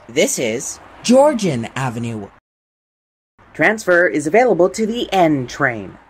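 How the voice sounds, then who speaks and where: Low, slow woman train announcer. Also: breathy edge. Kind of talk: scripted transit announcement.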